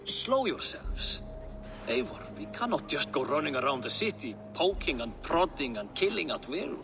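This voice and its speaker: An actor playing a cautious, weary older Norse official who speaks with reasoned restraint in a game cutscene. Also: male voice, on the high side, very animated, normal speed, music in the background. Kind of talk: video game cutscene acting.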